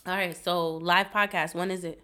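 A woman's voice: on the low side, fairly even intonation, moderate pace, bright, forceful tone. A woman hosting a podcast in casual chat, a bit congested, rambling and giggly with lots of fillers. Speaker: podcast host.

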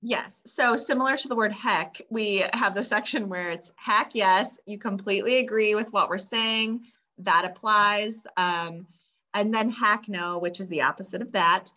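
A woman's voice: medium pitch, unhurried, bright, forceful tone. A woman in her mid thirties co-hosting a casual chat and introducing the topic. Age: 30s. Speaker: podcast co-host.

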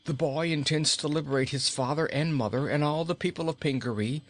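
A man's voice: fairly high pitch, a little fast, natural voice. A man voicing a frightened, scheming wicked queen in a storybook, urgent and commanding. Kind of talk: storybook character reading.

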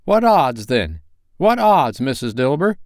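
A man's voice: medium pitch, very animated, a little slow, dry recording, studio quality, pitched above the performer's own voice. A man voicing a bold, defiant Victorian charwoman with a brash, scornful way of talking.

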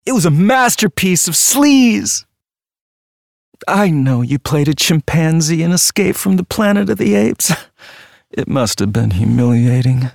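Slow, swooping obsessive fan. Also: male voice, fairly high pitch, sing-song, vocal fry, darkish tone, dry recording, studio quality, heavily acted. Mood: fawning, needling. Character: gushing, needling obsessive fan of a dead star. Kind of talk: theatrical audio drama acting.

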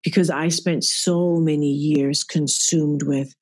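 A middle-aged woman with a deep, raspy voice, a podcast guest speaking earnestly about her faith and growing up. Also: steady tone, slow, a little mellow, no room sound. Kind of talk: reflective podcast interview. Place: at work.

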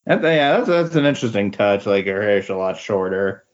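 A man's voice: lowish voice, expressive, moderate pace. Dull-sounding man in casual podcast chat. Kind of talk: casual comic book chat. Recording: dull, lo-fi audio.

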